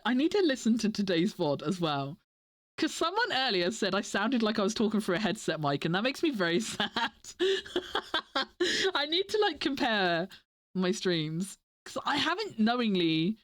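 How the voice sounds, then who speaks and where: A woman's voice: mid-range voice, no echo, clean studio sound. A woman streamer chatting casually to her viewers, stuffy and nasal from a cold, rambling and giggling often. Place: home desk. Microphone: desk mic.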